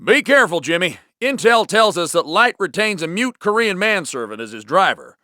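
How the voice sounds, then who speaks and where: Alert intelligence ally, high-pitched, bright, animated, video game warnings. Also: male voice, moderate pace, dry recording, studio quality, heavily acted. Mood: urgent warning. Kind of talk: scripted video game dialogue.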